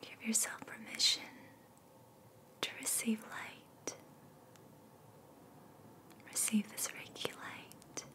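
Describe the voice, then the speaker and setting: A woman whispering a guided ASMR meditation in a breathy, soothing way, with short repeated phrases and long pauses. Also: bright, forceful tone.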